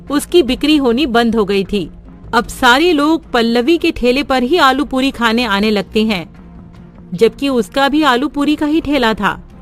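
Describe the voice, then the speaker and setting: A woman narrating a cartoon story in a plain, steady way. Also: fairly high pitch. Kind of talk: scripted narration.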